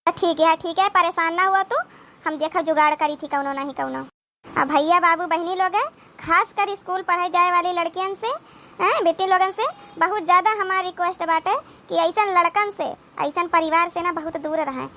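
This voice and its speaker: Woman acting a shrill Awadhi village sister-in-law. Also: normal speed, pushed and bright, heavily acted. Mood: worried, resigned. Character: scolding but protective village sister-in-law in an Awadhi family cartoon. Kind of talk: dramatic comedy cartoon dialogue.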